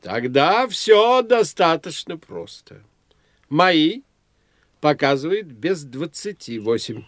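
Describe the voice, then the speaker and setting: An actor playing a self-assured, eccentric older doctor of the soul in an audio drama, speaking in a pondering, indulgent, lecturing manner. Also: male voice, a little high, very animated, slow pace, dry recording. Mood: analytical. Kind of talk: theatrical audio drama dialogue.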